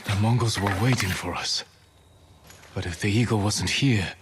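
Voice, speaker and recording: An actor playing a stubborn, haunted samurai in a video game cutscene, terse and intense. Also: male voice, fairly low pitch, moderate pace, background noise, natural voice. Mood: grim focus. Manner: terse, intense, swinging from muttered to shouted. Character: stubborn, haunted adult male samurai, grim and driven.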